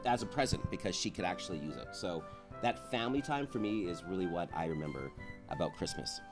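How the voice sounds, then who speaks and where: A man's voice: low-pitched, animated, brisk. Guy telling stories casually, voice swings up and down a lot, music in background. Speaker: panelist on a TV show. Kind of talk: casual chat, personal storytelling.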